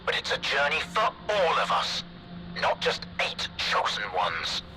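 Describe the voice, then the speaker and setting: Old scientist for a game cutscene, lecturing and idealistic, voice swoops up and down a lot, pitch sits a bit high. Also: male voice, huge pitch swings, measured pace, very noisy. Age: older. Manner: grand, philosophical, sweeping. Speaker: doctor. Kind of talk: video game cutscene acting.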